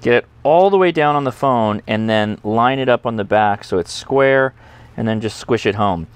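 A man's voice: medium pitch, normal speed, smooth, dry recording. An American man in his forties hosting a hands-on tech video in a conversational, enthusiastic, instructional way. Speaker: host of a ham radio video channel. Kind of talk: casual instructional product demo to camera. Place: indoor home studio and workbench.

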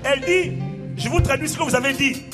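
A middle-aged man, a pastor, preaching in a large church on a handheld mic and reading out testimonies with animated exclamations. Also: extremely high pitch, fairly quick, over loud music. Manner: animated, exclaiming, bursts into shouting and laughter. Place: large church auditorium with a crowd.

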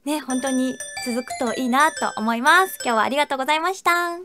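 A voice actress giving a chatty, giggly cast comment in a recording studio. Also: female voice, sits high, fairly quick, sharp tone, soft background music.

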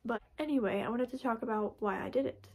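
A young woman chatting casually to camera in her bedroom, her voice a little raspy from being sick, rambling with many fillers and restarts. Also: on the high side, fast, darkish tone. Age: young adult. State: raspy from recent illness. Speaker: vlogger. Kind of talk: casual personal vlog. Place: bedroom, indoors. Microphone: camera in front at close range.

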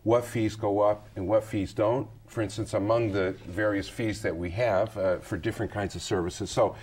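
A middle-aged man hosting a TV studio talk show, pressing a guest with halting questions full of restarts. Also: lowish voice, steady tone, darkish tone, husky. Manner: halting, with many restarts when pressing a point. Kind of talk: probing interview questions.

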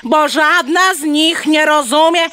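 A woman voicing a bossy, excitable mother hen in a children's audio play, clucking between words and scolding dramatically. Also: high-pitched, slow, exaggerated voice. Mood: lecturing, haughty.

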